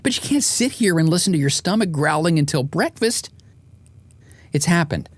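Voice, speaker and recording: A man's voice: fairly high pitch, expressive, normal speed, no echo. Dry-recorded man narrating a wry audiobook. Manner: wry, comic storytelling with exasperated emphasis. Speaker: author and podcast host narrating.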